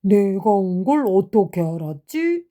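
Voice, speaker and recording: A woman voicing a boastful but easily frightened, hungry tiger in a children's storybook, with a theatrical drawn-out delivery. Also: mid-range voice, sing-song, mellow, voice pushed down. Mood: curious, uneasy.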